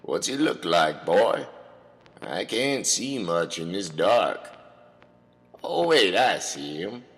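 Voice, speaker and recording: A man's voice: low-pitched, sing-song, takes it slowly, in a large hall, soft background music, exaggerated voice. A man playing a wary, practical backwoods father in an audio comedy drama, with folksy rural phrasing. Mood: cautious.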